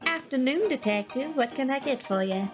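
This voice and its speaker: A woman's voice: high voice, expressive, moderate pace, over music, voice pushed up. A woman voicing a playful, boastful female saloon keeper who teases in a western video game. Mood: jokey, teasing. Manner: playful, teasing, self-amused. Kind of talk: video game character read-aloud.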